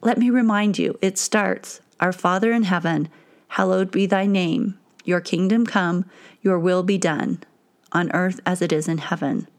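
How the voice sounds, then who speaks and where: A woman voicing Jesus as a calm, patient man gently explaining to his followers. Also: on the low side, a little slow.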